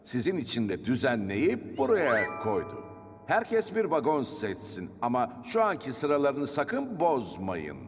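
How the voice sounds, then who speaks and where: A man's voice: mid-range voice, huge pitch swings, measured pace, long reverb tail. A man voicing a grown-up train engine in a children's cartoon, a reassuring leader with a cheerful, instructive manner. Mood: enthusiastic, instructing. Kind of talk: children's cartoon dub acting. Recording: music under the dialogue.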